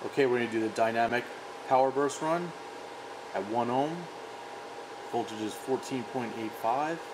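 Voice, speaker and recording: A man's voice: medium pitch, normal speed, background noise. A man giving terse, matter-of-fact commentary as a product tester, calling out readings. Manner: terse, factual.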